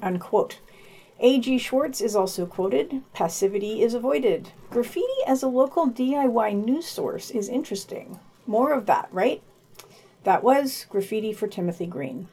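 A woman's voice: fairly high pitch, expressive, normal speed, warm tone, breathy edge. A woman hosting a podcast, reading a scripted news digest and dropping in casual asides.

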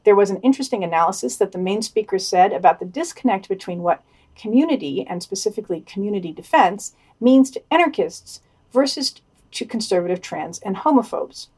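A woman reads a prepared news digest as a podcast co-host. Her voice sits at a middle pitch, and she moves between quoted passages and her own written commentary. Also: lively intonation, fairly quick, a little mellow, slightly rough.

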